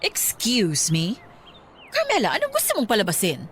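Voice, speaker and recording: A wealthy older Filipina madam, haughty and coquettish. Her delivery is highly animated and affected, in radio drama style with a Filipino accent, over faint background noise. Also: normal speed. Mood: outraged. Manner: affected socialite Taglish, condescending. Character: haughty rich older female employer, coquettish and condescending.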